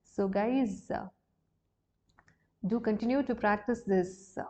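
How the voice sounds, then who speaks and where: A woman's voice: mid-range voice, takes it slowly, dark tone. A woman with an Indian accent teaching a singing lesson, explaining exercises step by step. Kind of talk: instructional lesson with sung demonstrations.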